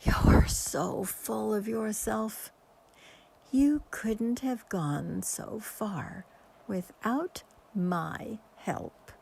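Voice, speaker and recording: Long-suffering stage assistant, played by an actress: woman, frank, reproachful, unhurried and creaky, radio drama. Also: mid-range voice, darkish tone, full character voice. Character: weary, long-suffering female magician's assistant. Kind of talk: radio mystery play acting.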